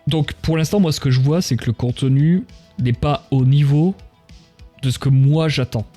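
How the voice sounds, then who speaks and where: A man's voice: on the high side, moderate pace, dark tone. A man talking casually on a livestream, giving his opinions in a blunt, emphatic, colloquial manner. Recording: music behind the voice. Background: music.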